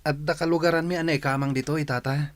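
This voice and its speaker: A man's voice: fairly high pitch, steady tone, quick pace, close to an everyday voice. A man playing a polite, helpful young factory worker in a radio drama, with an Ilocano accent. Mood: polite, modest.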